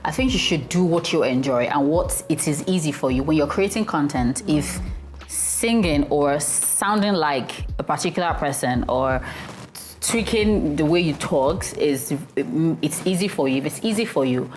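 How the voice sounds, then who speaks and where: Low-voiced Nigerian woman chatting. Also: moderate pace. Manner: relaxed, hesitant, with restarts. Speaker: guest, content creator. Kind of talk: casual interview chat.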